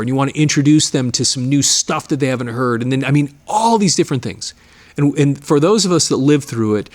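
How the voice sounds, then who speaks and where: A middle-aged man talks casually to his viewers, with a voice that sits in an ordinary middle pitch. He rambles, restarting his sentences and repeating words as he explains. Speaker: channel host, media digitizing studio owner. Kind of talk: casual explanatory talk to camera.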